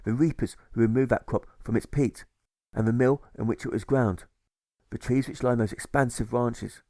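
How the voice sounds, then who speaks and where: A man narrates a rhyming audiobook in a measured, rhythmic way. His pitch sits in the middle for a male voice, and the recording is dry and free of room sound. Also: a little mellow, no room sound. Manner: measured, rhythmic verse delivery. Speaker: author reading own audiobook.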